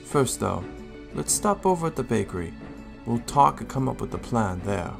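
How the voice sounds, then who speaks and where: A man playing a calm, responsible Raichu guardian in an audio drama, speaking in a measured, explanatory way. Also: mid-range voice, vocal fry, over music. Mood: earnest, explaining. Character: calm, helpful adult male Raichu guardian, steady and responsible.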